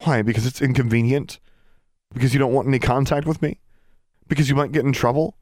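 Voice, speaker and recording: A man's voice: deep voice, moderate pace, darkish tone, dry recording, studio quality. A man voicing a teenage girl witch who is desperate, defiant and insistent, as in an audiobook. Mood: angry, challenging. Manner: insistent, challenging.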